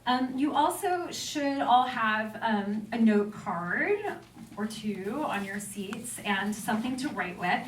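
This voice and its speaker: Enthusiastic high-voiced woman emceeing, echoey room. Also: animated, takes it slowly. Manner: enthusiastic, effusive. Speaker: event emcee. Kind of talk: informal welcome speech to a live audience. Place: indoor event room with an audience. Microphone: stage microphone. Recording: echoey room, distant mic, faint noise.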